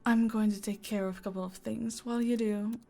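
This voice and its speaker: A woman playing a calm, dominant female mafia boss in an audio roleplay, teasing and deliberate, often slipping into a breathy whisper. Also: fairly high pitch. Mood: calm, explaining. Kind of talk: roleplay audio acting, intimate and slow. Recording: music behind the voice.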